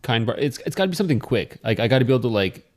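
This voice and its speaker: Medium-pitched man in choppy chat. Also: very animated, rapid-fire. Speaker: podcast co-host. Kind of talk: casual chat.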